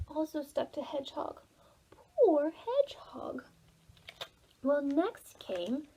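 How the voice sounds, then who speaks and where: A woman telling a story to preschoolers as a children's librarian, in a sing-song manner with drawn-out words. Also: high-pitched, warm tone.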